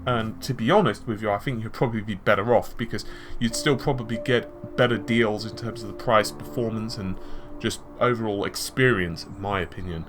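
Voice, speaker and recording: A man's voice: lowish voice, brisk. A man giving conversational tech and gaming commentary, explaining his points with examples and asides. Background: background music.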